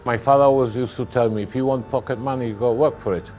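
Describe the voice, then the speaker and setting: A middle-aged man recalling his family business in a documentary interview, halting and repeating words as he goes. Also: mid-range voice, steady tone, a little mellow, faint music behind.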